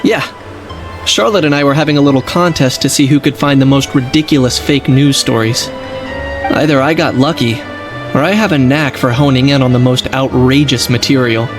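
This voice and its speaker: A man playing a level-headed, dryly wry young man in a video game, speaking in a measured, matter-of-fact way. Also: mid-range voice, lightly acted. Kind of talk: English video game voice acting. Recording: game music behind. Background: game music.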